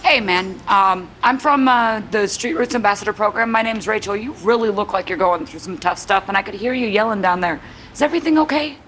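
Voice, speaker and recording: A woman's voice: medium pitch, fairly quick, over music. A woman speaking informally and soothingly as an outreach worker in a conversational role-play. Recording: noticeable ambient background noise.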